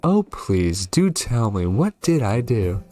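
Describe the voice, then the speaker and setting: A man's voice: lowish voice, very animated, slow, darkish tone, soft background music. Man voicing a sly, taunting old witch. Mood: mock innocent. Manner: mock-innocent, taunting. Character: sly, menacing old witch woman in a horror game.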